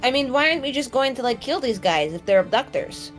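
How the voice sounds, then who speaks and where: A woman's voice: sits high, expressive, fast, faint music behind, pitched above the performer's own voice. Woman acting a blunt, sceptical mage. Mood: blunt, impatient. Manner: casual, blunt, modern slangy talk. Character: blunt, sceptical female mage, fond of praise.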